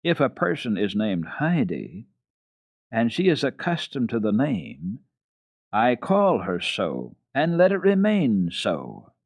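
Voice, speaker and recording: A man playing a merry, decisive old grandmother, swooping in pitch. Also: huge pitch swings, takes it slowly, exaggerated voice. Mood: firm, brisk. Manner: warm, amused, emphatic and decisive. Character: kindly, merry, brisk old grandmother of a wealthy house. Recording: dull, roomy old radio recording.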